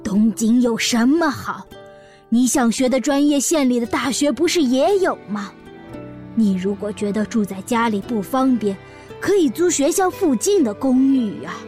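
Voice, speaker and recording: A woman's voice: fairly high pitch, expressive, moderate pace, mellow, soft background music, lightly acted. A woman playing an overprotective middle-aged mother, fretful and pleading, in an audio drama. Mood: pleading, reluctant.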